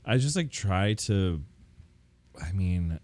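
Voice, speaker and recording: A man's voice: deep voice, animated, slow pace, darkish tone. A man chatting casually and candidly, with campy joking slang and a self-deprecating streak. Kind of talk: casual podcast chat.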